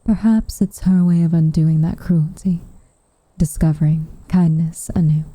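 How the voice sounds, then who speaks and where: A woman voicing a guarded, secretly tender tsundere witch in soft, often whispered ASMR roleplay, with coy, hesitant, wryly formal phrasing. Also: sits low, steady tone, a little slow, slightly rough, dry recording. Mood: guarded, wistful.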